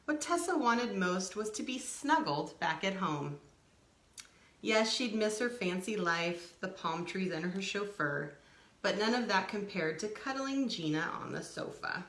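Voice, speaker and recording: Animated woman reading a children's story, roomy sound. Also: medium pitch, unhurried. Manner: expressive, explaining to young children. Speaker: storytime reader. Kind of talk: animated children's picture book read-aloud with asides.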